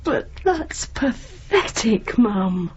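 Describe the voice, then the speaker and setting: An actress playing a forthright, exasperated grown daughter in a radio drama, with a British accent and a blunt, impatient manner. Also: female voice, a little high, big pitch swings, extremely slow, darkish tone, dull audio, slight background noise, heavily acted. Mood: exasperated, scolding. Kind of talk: naturalistic radio drama acting.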